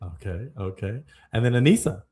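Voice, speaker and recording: Man, dating show host, lively up-and-down pitch, a bit husky, playful. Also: moderate pace. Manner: playful, animated, addresses guests as kind sir. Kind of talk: live dating show hosting.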